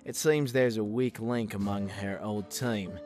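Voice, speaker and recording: A man's voice: on the low side, measured pace, a little mellow, lightly acted. Man playing smug, chatty killer robot. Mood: formal, deferential. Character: chatty, slightly smug male killer robot squad member. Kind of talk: fan comic dub acting. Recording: music behind the voice.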